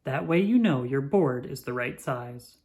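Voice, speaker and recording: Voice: medium pitch, expressive, a little slow, a little mellow. Narrator playing a storybook father, practical, playful, reassuring, plain-spoken, mid-range voice. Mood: calm, reassuring.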